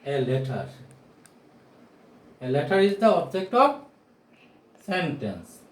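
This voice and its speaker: A man in his forties, a non-native English speaker, teaching grammar at a whiteboard in a deliberate, projected way, repeating key phrases and asking rhetorical questions. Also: fairly high pitch, very animated. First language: not English. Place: small indoor room with bare walls, at a whiteboard. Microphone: camera or phone mic a few steps away. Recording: roomy echo, distant camera microphone.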